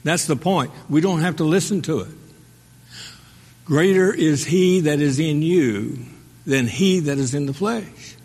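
A man preaching a sermon as pastor, asking the congregation rhetorical questions and pausing for their response. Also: fairly high pitch, darkish tone, breathy edge. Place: church sanctuary. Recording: long hall reverb.

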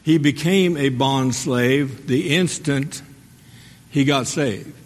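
A man preaching a sermon as pastor, asking the congregation rhetorical questions and pausing for their response. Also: medium pitch. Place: church sanctuary. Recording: long hall reverb.

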